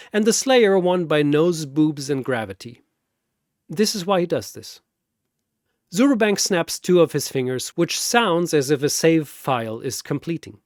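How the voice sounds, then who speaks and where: A man narrating a scripted battle story in a theatrical, deliberate way, like a dramatic commentator. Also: on the high side, a little mellow, no echo, clean studio sound.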